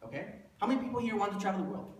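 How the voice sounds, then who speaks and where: A man's voice: sits high, expressive, very fast. A thirty-year-old man giving a motivational presentation to an audience in a conversational way, putting rhetorical questions to listeners and repeating himself for emphasis. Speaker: motivational presenter pitching a business plan. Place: indoor room before an audience. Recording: echoey room, distant mic, faint noise.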